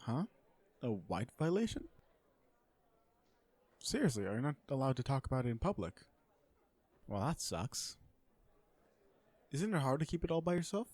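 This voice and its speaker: Casting: male actor as a sardonic, flirty corporate supervillain for romantic comedy audio roleplay, mid-pitched, conversational and sure of himself. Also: sing-song, normal speed, long pauses, a little mellow, husky, no echo. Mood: flirty, relaxed. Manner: conversational venting, sardonic and self-assured. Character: cocky, flirtatious businessman supervillain on a blind date.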